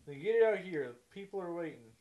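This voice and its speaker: A man voicing a brisk, bossy, wry small-town newspaperwoman in a comic amateur radio play reading. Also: sits high, expressive, narrow, tinny audio. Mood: stern.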